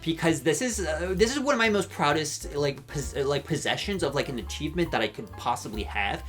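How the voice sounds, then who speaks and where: A man's voice: on the high side, animated, a little fast, a bit bright, faint music behind. A young man in his twenties with an Irish accent, chatting in a rambling, jokey, self-mocking way as he comments on a show for his video channel. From Ireland. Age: 20s. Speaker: YouTube commentator. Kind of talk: casual vlog commentary.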